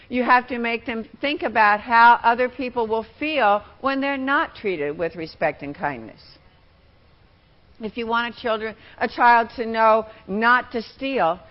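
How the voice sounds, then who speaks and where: A woman giving a teaching talk as a workshop trainer, with deliberate, emphatic phrasing. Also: a little high, muffled recording.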